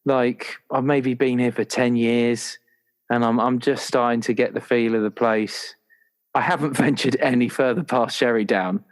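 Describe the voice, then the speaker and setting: A man's voice: mid-range voice, steady tone, normal speed, rough recording. A man chatting casually and playfully as a tabletop roleplaying player, enthusiastic and prone to ramble. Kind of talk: casual chat about a game character.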